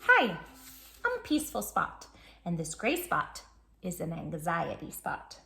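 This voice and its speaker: Woman voicing a bright, friendly little storybook spot. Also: lowish voice, wildly swooping pitch, a little slow, gravelly, roomy sound, faint music behind, voice pushed down, exaggerated voice. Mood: friendly, cheerful. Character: friendly, gentle little peaceful spot, picture-book character.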